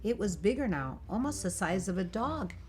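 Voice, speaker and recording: A woman reading a picture book aloud for children, in an expressive, animated and emphatic storytelling delivery. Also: mid-range voice, normal speed. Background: music.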